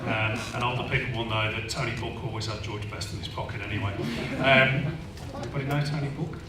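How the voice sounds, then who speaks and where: A man's voice: medium pitch, fairly even intonation, fairly quick, over a noisy crowd. A man from Manchester moderating a live panel in front of an audience, with jokey, teasing banter. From Manchester, England. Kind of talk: hosting a live panel discussion. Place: function room with an audience. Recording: echoey hall, distant mic.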